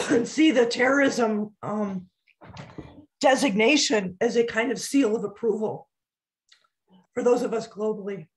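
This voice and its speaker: A woman co-hosting a webinar discussion who is losing her voice and keeps clearing her throat. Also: on the high side, rough recording. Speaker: co-host.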